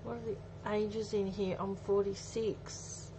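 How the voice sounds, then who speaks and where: A woman's voice: mid-range voice, level tone, takes it slowly. A woman in her forties chatting casually with viewers as she hosts a live craft demonstration, thinking aloud as she goes. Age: 40s. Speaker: craft livestream host. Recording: muffled audio with faint background noise.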